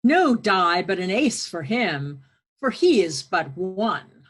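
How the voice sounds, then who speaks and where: Woman playing a witty courtly heckler; very varied pitch, measured pace, playful mockery, amateur video-call reading. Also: sing-song. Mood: quibbling. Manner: playfully mocking. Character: teasing, quibbling noble spectator at a play. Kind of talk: amateur Shakespeare table read. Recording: home video call recording.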